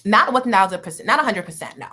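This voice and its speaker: A woman's voice: mid-range voice, animated, fast, pushed and bright, breathy edge. A woman in her thirties from New York City, a radio interview guest telling her life story in an earnest, candid way with playful asides.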